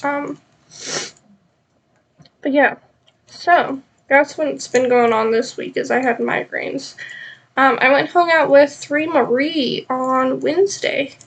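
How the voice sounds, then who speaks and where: A woman's voice: high-pitched, steady tone, slow, long pauses. A woman with a stuffed-up nose chatting casually and rambling on a live knitting stream, with many ums.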